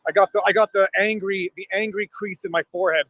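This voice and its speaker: A man's voice: high voice, fairly even intonation, quick pace, fairly bright tone, no echo. A man, talking as a live street interviewer, chatty and digressive, repeating the starts of his questions. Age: 40s. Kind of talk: spontaneous on-street interview and commentary.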